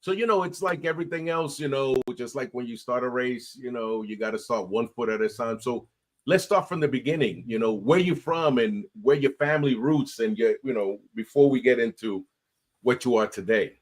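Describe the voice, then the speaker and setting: A man hosting a casual interview podcast, talking in a halting way with many fillers and restarts. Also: mid-range voice. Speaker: podcast host.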